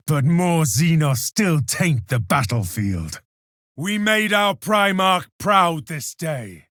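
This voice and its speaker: A proud, contemptuous space warrior declaims his video game battle lines with solemn zeal. His voice sits fairly high for a male and moves dramatically up and down. The delivery is measured, militant and commanding, captured close and dry. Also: fairly bright tone, no echo, full character voice. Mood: stern resolve, then proud victory.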